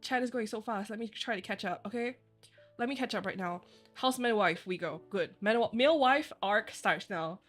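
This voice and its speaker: A woman whose first language is Chinese, chatting casually with her viewers on a live stream, bubbly and quick to giggle. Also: mid-range voice, a bit bright, faint music behind. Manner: bubbly and giggly, laughs often; chatty, rambling asides.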